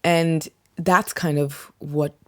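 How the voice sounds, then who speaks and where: A woman's voice: deep voice, steady tone, slow pace. A young woman with a mixed accent touched by American and South African inflections, reflectively talking through her background as an interview guest, with many "um"s and "like"s. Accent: mixed, slight American and South African inflections. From England (Yorkshire). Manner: reflective, frequent "um" and "like", soft laughs. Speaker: guest singer-songwriter. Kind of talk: casual interview chat.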